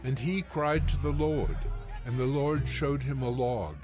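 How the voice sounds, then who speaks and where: A man narrates scripture in a scripted audio production. His voice sits at a middle pitch. Music plays clearly beneath the narration. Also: expressive, a little slow.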